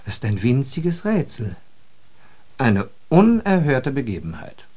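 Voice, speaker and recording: An actor playing a wry, puzzled observer of city life in a literary radio monologue, speaking articulately with irony. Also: male voice, medium pitch, wildly swooping pitch, darkish tone. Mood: puzzled, indignant. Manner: ironic, articulate, deliberate phrasing.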